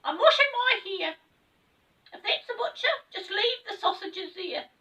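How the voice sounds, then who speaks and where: A woman's voice: very high-pitched, lively intonation, measured pace, sharp tone, distant mic, voice pushed up, exaggerated voice. A woman voicing a small, breezy female elf in a children's storybook, calling out in a sing-song way.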